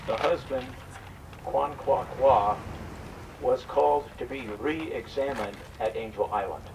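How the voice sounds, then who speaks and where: A man's voice: medium pitch, unhurried, gravelly. A man reading scripted narration for a staged historical drama. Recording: distant microphone in noisy live room.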